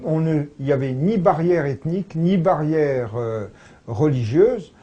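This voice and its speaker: A man's voice: a little high, expressive, a little slow, dark tone, breathy edge, lo-fi sound. A man speaking as a scholarly interview guest, explaining conversationally in a discursive, emphatically agreeable way.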